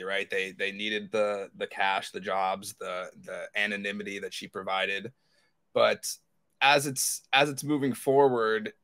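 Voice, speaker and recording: A man's voice: lowish voice, a bit bright. A man co-hosting a podcast, talking casually and enthusiastically as a fan, in a rambling way. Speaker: podcast co-host. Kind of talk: casual fan discussion of TV episodes.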